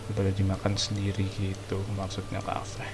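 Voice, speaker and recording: A man's voice: low-pitched, completely flat delivery, fast, noisy background. A man giving casual, slangy, amused commentary as he streams a game. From Indonesia. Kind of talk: casual live gameplay commentary. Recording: game music under the voice.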